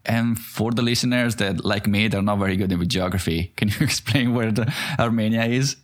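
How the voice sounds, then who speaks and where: A man's voice: lowish voice, normal speed. A man hosting a podcast, reading a scripted intro and then asking curious, self-deprecating questions in casual conversation. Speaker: podcast host.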